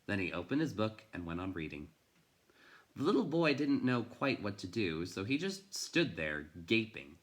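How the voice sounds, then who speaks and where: A man reading a storybook aloud like a teacher, with expressive narration in measured phrases. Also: fairly low pitch.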